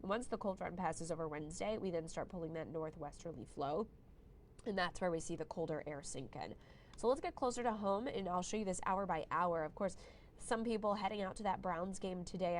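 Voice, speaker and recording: Woman tv meteorologist, slightly hoarse from a cold, friendly live forecast, lowish voice, faint ambience behind. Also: a little hiss or hum. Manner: friendly, conversational, clears throat at times. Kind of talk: live weather forecast on social media.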